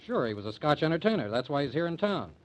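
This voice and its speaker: An actor playing a protective, excitable booking agent boyfriend, slangy and emphatic, with an American accent in old-time radio drama style. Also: male voice, on the high side, quick pace. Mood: explaining.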